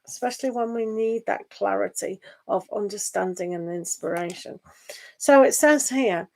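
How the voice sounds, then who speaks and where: Hoarse woman with a gravelly voice. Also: a little high, rough recording. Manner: meandering, gentle, reads card texts aloud. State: losing her voice, hoarse. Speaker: tarot reader. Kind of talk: live online tarot reading.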